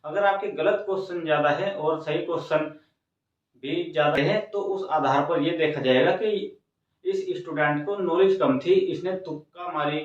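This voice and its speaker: A man's voice: a little high, moderate pace. A man giving an informal, instructive explainer to students, repeating phrases for emphasis. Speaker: exam coaching host. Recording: very echoey room, distant mic, dull sound.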